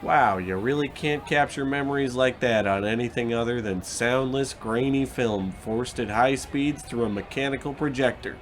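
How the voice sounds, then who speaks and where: A man's voice: medium pitch, moderate pace, hardly any pauses, a little hiss or hum, natural voice. A man playing an oblivious, nostalgic onlooker musing aloud in a comedic radio play. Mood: wistful.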